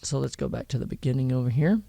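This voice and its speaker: A man explaining vocal mixing in a relaxed, rambling tutorial, often checking in with "all right?" and "okay?". He has a thin voice and is talking in his home studio. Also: mid-range voice, fast talker, gravelly, no room sound. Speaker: music producer teaching vocal mixing.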